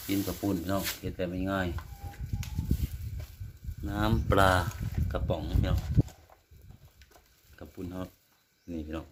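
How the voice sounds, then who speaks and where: A father with an Isan accent, chatting casually to viewers while eating at home, in a relaxed, drawling way. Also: sits low. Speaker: father and vlog host. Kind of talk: casual eating vlog chat. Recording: noisy outdoor phone recording.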